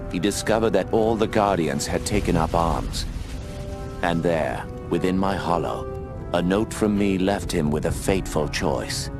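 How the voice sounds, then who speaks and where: Brief: an owl warrior narrating a game, low voice, steady storytelling, music under. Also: male voice. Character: older male owl guardian narrating, steady.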